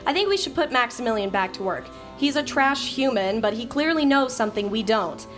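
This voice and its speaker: An impatient young coder who cuts people off with sarcasm, played by a woman. Her voice sits a little high and her manner is blunt throughout. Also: animated, a little fast, over music, close to an everyday voice. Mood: irritated.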